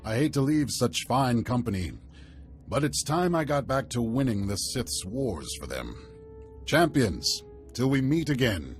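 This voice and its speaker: An actor playing a proud, hearty older warrior chieftain in a video game, speaking in a stately, commanding way. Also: male voice, mid-range voice, big pitch swings, measured pace, faint music behind. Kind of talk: video game cutscene acting.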